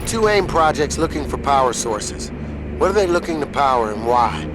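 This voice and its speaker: An actor playing an earnest military officer and worried, loyal friend in a video game, urgent and businesslike. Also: male voice, mid-range voice, big pitch swings, normal speed, music in the background, close to an everyday voice, low-quality recording. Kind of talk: scripted video game acting.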